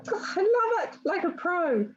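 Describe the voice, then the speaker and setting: A woman's voice: extremely high pitch, very animated, a little slow, lo-fi sound, a loud crowd. A woman hosting a group video call, encouraging everyone and calling them darling and honey. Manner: warm encouraging, calls people darling and honey.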